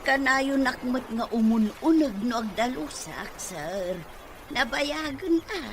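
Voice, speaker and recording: A fairly high female voice with an Ilocano accent, speaking slowly as a woman acting a respectful, inquisitive housemaid in a drama serial. Noise is clearly audible on the track. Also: animated. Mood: dutiful.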